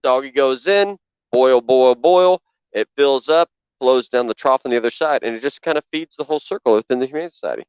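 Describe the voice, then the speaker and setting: A man's voice: mid-range voice, fairly quick, clean tone, no echo. A man co-hosting a comedy podcast, chatting casually and spinning absurd schemes with his friends. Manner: animated, spins absurd schemes. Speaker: podcast co-host. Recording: dull lo-fi podcast audio.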